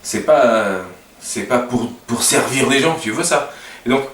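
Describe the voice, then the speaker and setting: A man's voice: medium pitch, moderate pace, fairly bright tone. A man with a Portuguese accent speaking French as a second language, introducing himself informally and hesitantly, searching for his words. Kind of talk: informal unscripted introduction to camera. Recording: roomy echo, distant mic, faint noise.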